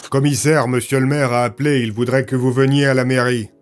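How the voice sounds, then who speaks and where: A man's voice: medium pitch, steady tone, fairly quick, lightly acted. A man voicing a dutiful police officer who reports formally and matter-of-factly to a superior. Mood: businesslike.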